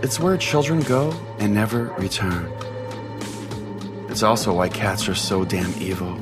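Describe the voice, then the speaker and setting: A man's voice: fairly low pitch, unhurried, natural voice. A man playing an eccentric pastor who hosts a late-night call-in radio show in a theatrical, mock-solemn way. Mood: rambling, absurd. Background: music.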